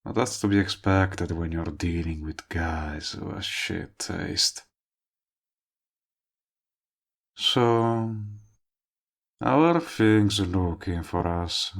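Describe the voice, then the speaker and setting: Man acting a lovestruck villain, very deep voice, slow delivery, smug, coaxing, intimate audio roleplay. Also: creaky, full character voice. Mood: smug, teasing. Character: cocky, lovestruck male villain wooing a longtime friend. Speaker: voice actor.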